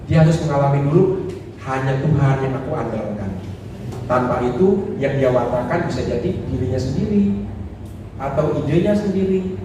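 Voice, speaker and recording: A man, a priest, giving a lively sermon talk, acting out quoted voices and joking with the audience. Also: a little high, far from the mic, background noise. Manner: animated, acts out quoted voices, jokes with audience. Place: church hall. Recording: echoey hall recorded from afar.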